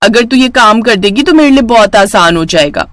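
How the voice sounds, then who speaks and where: Woman acting a haughty, high-voiced bossy rich sister. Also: expressive, moderate pace, dry recording, studio quality, natural voice. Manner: boastful, ordering, dismissive.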